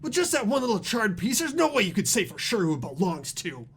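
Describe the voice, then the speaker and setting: A man's voice: high voice, wildly swooping pitch, fairly quick, vocal fry, a bit bright, slight background noise, exaggerated voice. A man voicing a hot-headed, defensive young male student accused of wrongdoing in an amateur video game dub. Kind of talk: amateur voice acting in a visual novel playthrough.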